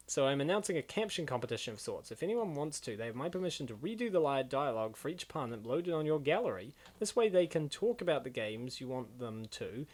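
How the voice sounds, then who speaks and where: A man with a slightly high voice, hosting in a casual way. He rambles excitably as he reacts and chats between parts of a comic dub. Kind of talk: casual chatty reaction commentary.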